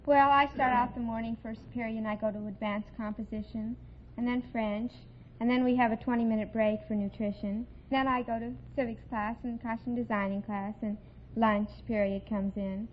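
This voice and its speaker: A woman's voice: a little high, steady tone, moderate pace. A young woman in her teens from the United States, answering a host's questions as a student contestant on an old radio show. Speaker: high school student contestant. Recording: muffled vintage radio recording, faint hiss.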